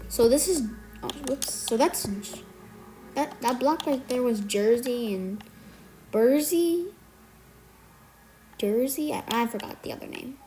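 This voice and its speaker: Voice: mid-range voice, expressive, slow pace, pauses often, warm tone, rough recording. A tutorial maker who is a bit sick today talks step by step through a building tutorial, counting out blocks and correcting small slips along the way. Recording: music playing under the voice.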